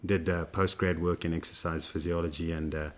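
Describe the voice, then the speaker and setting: A man, a running coach, telling his story in a relaxed interview with lots of fillers and restarts. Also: deep voice, brisk. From South Africa. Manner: rambling, many fillers and restarts.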